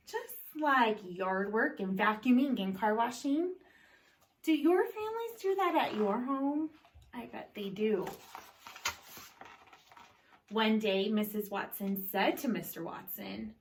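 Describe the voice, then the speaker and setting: A woman reading a picture book aloud to children in a deliberate storytelling style, pausing to put questions to her young listeners. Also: on the high side.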